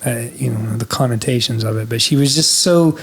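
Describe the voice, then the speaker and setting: A man from Scotland with an English-sounding British accent, telling animated stories as a podcast guest and swearing freely. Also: medium pitch, a little fast, slight background noise. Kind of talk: casual storytelling.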